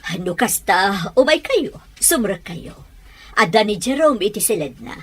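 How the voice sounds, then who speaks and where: Woman acting a very animated welcoming mother. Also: medium pitch, measured pace, breathy edge. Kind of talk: serial audio drama acting. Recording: faint noise with clicks.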